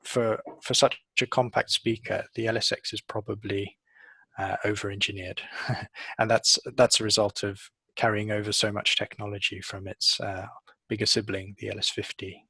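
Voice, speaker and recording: A middle-aged man giving a measured, hesitant technical explanation in an interview, speaking into a headset. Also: on the low side, flat delivery, gravelly, sharp tone, slightly rough, no echo. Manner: hesitant, measured, pausing often. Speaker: audio engineer, interviewee. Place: office showroom, on video call.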